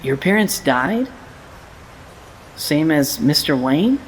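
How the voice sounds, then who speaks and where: A man's voice: fairly high pitch, big pitch swings, slow, a little hiss or hum. A male actor playing an eager, earnest, wide-eyed orphan boy in an audio drama, with background ambience. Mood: curious.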